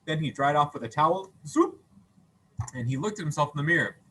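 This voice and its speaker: A man reading a children's story aloud as a teacher, playful and expressive, with acted sound effects and questions to listeners. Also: fairly high pitch, fairly quick, a little hiss or hum, low-quality recording.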